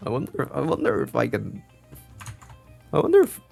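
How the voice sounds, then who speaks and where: A man streaming a visual novel, reading dialogue aloud and reacting in a theatrical, halting way. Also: medium pitch, wildly swooping pitch, vocal fry, a little mellow, faint music behind. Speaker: gaming streamer.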